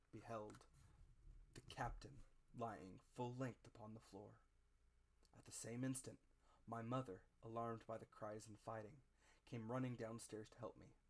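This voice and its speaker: Male narrator, medium pitch. Dramatic audiobook read, theatrical, phrase-by-phrase delivery.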